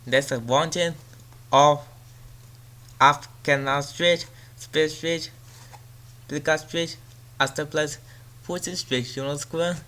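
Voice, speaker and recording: A man delivers a transit service announcement as a train announcer, reading out information and stops. His voice sits a little high and has a clearly bright, forward edge. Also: steady tone, slow, pauses often. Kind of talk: subway service announcement, reading station lists.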